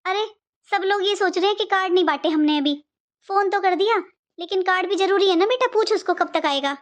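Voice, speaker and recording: A woman's voice: very high-pitched, a little fast. A woman playing a middle-aged mother-in-law in a cartoon family drama, a fretful matriarch who talks in a rambling, repetitive, motherly way.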